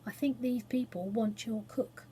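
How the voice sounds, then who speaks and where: Storybook phoenix played by a woman: vain, pompous, soft voice with a slightly husky edge. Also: fairly high pitch, fairly even intonation, unhurried. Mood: calm, knowing. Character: vain, self-satisfied talking phoenix bird.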